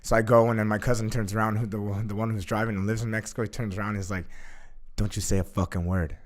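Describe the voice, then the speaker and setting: A young man telling a story in a casual chat, animated, full of "like" fillers and swearing. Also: on the low side, fast. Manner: animated, heavy use of filler "like", swears freely. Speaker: guest.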